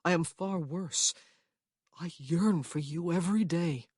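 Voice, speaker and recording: A woman voicing an earnest, lovesick young gentleman who speaks with emphasis. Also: lowish voice, measured pace, slightly rough, no room sound, studio quality. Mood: urgent.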